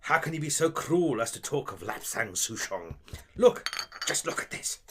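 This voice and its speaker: A small shrew-like alien thespian played by a man in an audio drama, fussy and grandly melodramatic. The delivery is florid and plummy, with pitch that leaps about. There is clear noise on the recording. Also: very animated, moderate pace, close to an everyday voice. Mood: anguished, melodramatic. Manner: theatrical, florid, plummy declaiming. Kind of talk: scripted audio drama acting.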